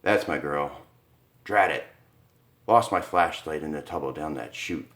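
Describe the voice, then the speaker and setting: A man voicing a young husband who talks in a low, soothing, reassuring way. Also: unhurried, fairly bright tone. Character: steady young husband trying to stay calm.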